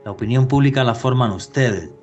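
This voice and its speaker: A man's voice: medium pitch, a little fast, a little mellow, muffled recording, faint music behind. A man hosting a radio podcast, speaking in an earnest, opinionated and expansive way as he introduces the episode and his guest. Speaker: radio host.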